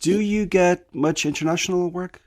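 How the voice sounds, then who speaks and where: A male radio host asking a guest curious, relaxed questions in an interview. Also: high-pitched, moderate pace.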